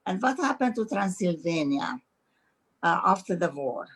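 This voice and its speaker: A woman in her nineties from Romania, whose first language is Hungarian, speaks in a lowish voice over a video call. She talks haltingly, pausing on ums and restarting her sentences as she recounts her early years. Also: sing-song, husky. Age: 90s. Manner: halting, many fillers and restarts. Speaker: interview guest. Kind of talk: recalling her life in conversation.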